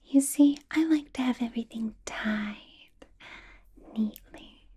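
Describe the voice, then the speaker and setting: A woman playing a doting yet menacing vampire boss in a breathy, whispered ASMR roleplay. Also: on the high side, mellow, heavily acted. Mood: reassuring, sly. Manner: slow, breathy whisper with silky, drawn-out phrasing.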